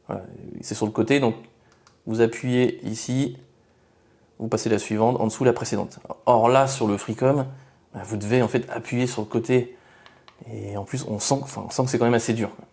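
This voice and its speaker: A man giving an informal product review, explaining things haltingly and often rephrasing mid-sentence. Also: medium pitch, mellow. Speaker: motorcycle gear reviewer.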